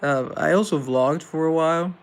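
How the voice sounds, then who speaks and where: A man's voice: fairly high pitch, moderate pace. A young man from Colombia hosting a casual live show, reading out chat questions and answering in a rambling, earnest way with self-deprecating asides.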